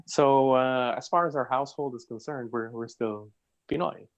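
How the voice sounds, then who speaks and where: Man, panel guest, relaxed talk, dull lo-fi call sound. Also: medium pitch, normal speed. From Philippines. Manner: relaxed, repeats words. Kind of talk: casual panel chat.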